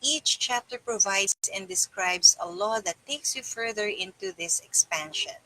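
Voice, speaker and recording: A woman's voice: mid-range voice, a little slow, bright, forceful tone, rough recording. A middle-aged woman reading aloud for a live stream, with casual asides to her viewers. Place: small indoor room with bare wall.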